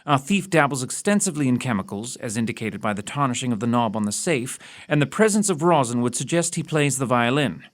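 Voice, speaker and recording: A keen, self-assured gentleman detective played by a man in a period audio mystery. His voice sits at an ordinary male pitch. He speaks in precise, formal sentences with pompous assurance and dry wit. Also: expressive, fairly quick, hardly any pauses, natural voice. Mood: confident.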